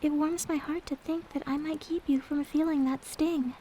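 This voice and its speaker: A woman playing a gentle, devoted young maid companion in an ASMR roleplay, speaking in a soft, polite, tender way. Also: high-pitched, steady tone, normal speed, slight background noise, natural voice, rough recording. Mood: wistful, tender. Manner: polite, tender, demure storytelling. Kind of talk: ASMR roleplay, soft intimate character acting.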